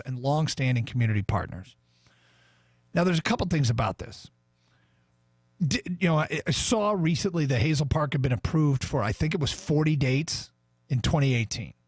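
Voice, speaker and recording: A man's voice: medium pitch, no echo. A man with an American accent hosting sports radio, talking through news and commentary on a studio microphone. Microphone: studio broadcast microphone.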